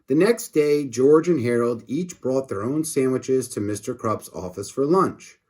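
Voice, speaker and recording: A man's voice: medium pitch, unhurried, a little mellow, breathy edge. A man reading a children's story aloud expressively, with short asides to his listeners. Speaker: storybook reader. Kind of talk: animated children's read-aloud narration.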